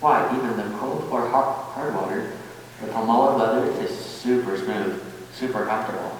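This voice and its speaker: A man's voice: fairly low pitch, normal speed, lightly acted. A man playing a satisfied customer in a scripted radio advertisement testimonial. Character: satisfied male customer giving a shaving testimonial. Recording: echoey hall from far off, faint hum.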